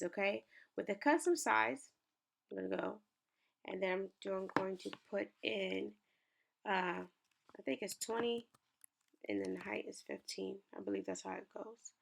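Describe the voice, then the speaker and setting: A young woman talks viewers through a design tutorial on her screen. Her voice sits at a middle pitch and is raspy and gravelly from the early morning. She is chatty and upbeat and speaks to the viewers directly. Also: fairly bright tone. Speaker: small business owner and lifestyle creator. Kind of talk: screen-share tutorial walkthrough.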